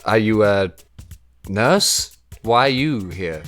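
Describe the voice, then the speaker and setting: A woman's voice: very deep voice, expressive, slow pace, soft background music. A woman, role-playing in a tabletop game with an earnest, polite, slightly theatrical manner. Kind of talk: improvised in-character role play.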